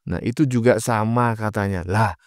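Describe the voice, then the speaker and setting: A man's voice: fairly low pitch, moderate pace, no echo, studio quality. A man giving informal political reaction commentary in a mocking manner, full of rhetorical questions. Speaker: host of a reaction channel.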